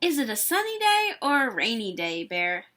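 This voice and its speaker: An encouraging, chirpy bird from a picture book voiced by a woman reader. It speaks in a high, bright voice with animated, swooping intonation. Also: normal speed, a little hiss or hum, full character voice. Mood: excited.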